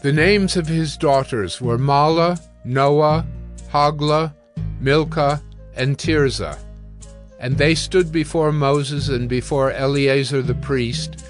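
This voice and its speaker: A man narrating scripture from a script in a solemn, formal and measured way. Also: on the high side, fairly even intonation, faint music behind.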